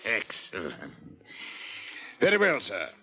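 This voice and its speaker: An actor playing a courteous, self-assured Martian client with formal, precise diction, in a 1950s radio drama. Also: male voice, fairly low pitch, wildly swooping pitch, slow, pushed and bright, breathy edge, full character voice. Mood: businesslike. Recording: vintage 1950s radio broadcast.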